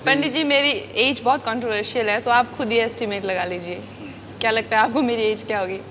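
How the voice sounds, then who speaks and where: A woman's voice: on the high side, steady tone, brisk, slight background noise. A woman in her twenties from north India, an actress guest on a game show, bantering animatedly and slipping in English phrases. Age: 20s. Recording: echoey studio with loud audience.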